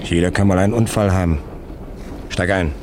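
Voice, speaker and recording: An actor playing a hard, persuasive underworld go-between in a radio crime drama, cool, dry and pressing. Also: male voice, deep voice, steady tone, brisk, noisy background, lightly acted. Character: hard, persuasive male contract-killing go-between. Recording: loud car and street ambience.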